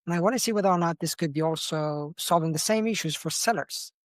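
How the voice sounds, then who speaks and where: A man's voice: on the high side, quick pace, no room sound, studio quality. Iranian-accented man, enthusiastic founder guest. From Iran. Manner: enthusiastic, frequent restarts and fillers. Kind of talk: podcast interview.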